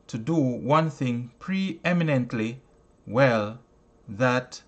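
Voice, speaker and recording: A man reading a self-help audiobook in an emphatic, oratorical manner, breaking sentences into short phrases with deliberate pauses. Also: mid-range voice. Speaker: audiobook reader and teacher.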